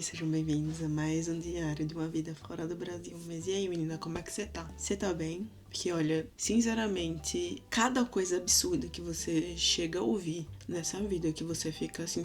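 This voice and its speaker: A woman's voice: lowish voice, normal speed, hardly any pauses, a little mellow, faint music behind. A young woman from Brazil with a Recife accent, telling a personal story to her viewers in a casual, chatty way. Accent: Recife Brazilian Portuguese. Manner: chatty, addresses viewers directly. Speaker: vlogger.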